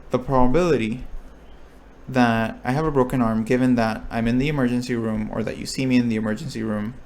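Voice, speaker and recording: A man's voice: mid-range voice, steady tone, a little fast, a little mellow. A young man explaining a probability lesson, thinking aloud with restarts and checking in with his listeners. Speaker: recitation instructor. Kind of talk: explaining a lecture topic.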